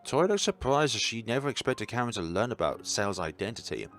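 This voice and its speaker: Man, game narrator, casual reactions, lively swooping intonation, faint music behind. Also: medium pitch, quick pace. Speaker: let's-play host reading a visual novel aloud.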